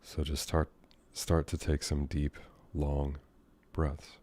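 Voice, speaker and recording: An American man leads a guided meditation in an extremely low, dark and relaxed voice. His delivery is almost monotone, with very little rise or fall. He speaks gently and without hurry, leaving long pauses as he improvises his guidance. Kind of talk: guided meditation, soothing and unscripted.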